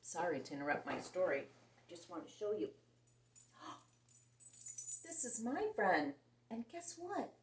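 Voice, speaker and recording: A woman reading a picture book aloud to young children in an animated, expressive way. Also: on the low side, slow, long pauses, far from the mic. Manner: animated and expressive, voicing characters. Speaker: children's story reader. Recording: roomy sound, set back from the mic.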